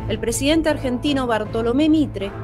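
A woman narrating a radio drama in a clear, declarative way. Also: sits high, normal speed, over music.

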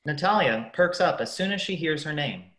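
A woman's voice: low-pitched, expressive, moderate pace, a bit bright. A woman narrating a radio play, reading scripted stage directions. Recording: echoey room.

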